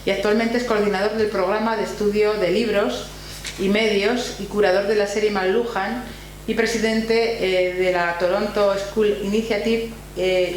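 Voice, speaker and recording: A woman with an accent from Spain formally introduces a guest speaker at an academic seminar, partly reading and sometimes hesitating. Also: mid-range voice. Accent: Spanish from Spain. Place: university library room. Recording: very echoey room, faint hum and noise.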